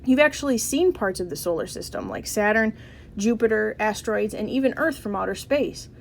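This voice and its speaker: A woman's voice: mid-range voice, animated, a little fast, vocal fry, a little hiss or hum. A woman voicing a spirited, outspoken young schoolgirl in a storybook, frank and emphatic. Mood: firm.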